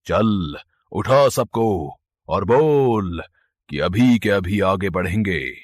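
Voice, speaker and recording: Cruel, husky serpent villain. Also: male voice, deep voice, expressive, dry recording, heavily acted. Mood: commanding. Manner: slow, drawn-out, taunting threats. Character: wicked male serpent-clan villain, cruel, menacing, gloating.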